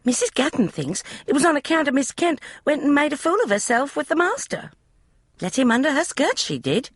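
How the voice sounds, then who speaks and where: A woman's voice: sits high, very animated, brisk, dry recording, clean studio sound, pitched above the performer's own voice. A woman voicing a young, flustered housemaid who gossips in broad, common speech. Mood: gossipy.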